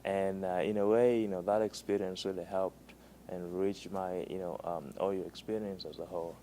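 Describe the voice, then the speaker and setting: Deep-voiced man with "you know" fillers. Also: fairly even intonation, moderate pace, dark tone, slight background noise. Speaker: international student interviewee. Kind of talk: interview answers.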